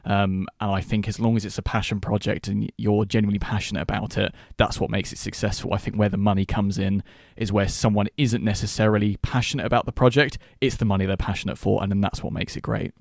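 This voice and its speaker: A man's voice: on the low side, little pitch movement, fast talker, creaky, dry recording, clean studio sound. A man hosting a podcast, giving his take in a conversational film discussion. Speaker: podcast host.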